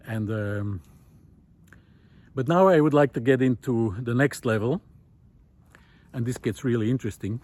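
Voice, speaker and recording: A man of ordinary middle pitch speaks while walking a forest path outdoors, recorded on a portable mic. He thinks aloud with frequent pauses and fillers, then pushes his voice up and louder when he reaches a point he cares about. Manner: thoughtful, many pauses and fillers, rising emphasis on key points. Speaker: nature video essayist. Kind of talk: reflective walking monologue.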